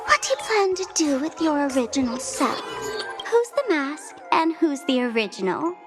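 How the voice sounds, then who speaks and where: Cheeky game showgirl, high and bouncy, loud music under her. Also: very animated, normal speed. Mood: defiant. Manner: playful, teasing, sing-song. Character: mischievous showgirl young woman, teasing, theatrical. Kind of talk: video game voice acting.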